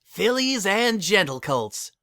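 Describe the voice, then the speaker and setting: A man's voice: sits high, very animated, slow, pushed and bright, no room sound, studio quality, pitched above the performer's own voice, exaggerated voice. A man voicing an adoring female unicorn in a story, speaking in an earnest, heartfelt, speech-making way. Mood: tender, adoring.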